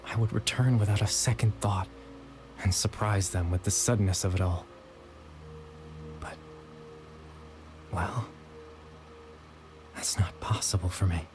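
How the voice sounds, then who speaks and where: A man voicing a gentle, melancholy young man in a video game, soft-spoken, hesitant and wistful. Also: sits low, fairly even intonation, measured pace, long pauses. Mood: sad, resigned. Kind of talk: dramatic video game voice acting. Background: game music.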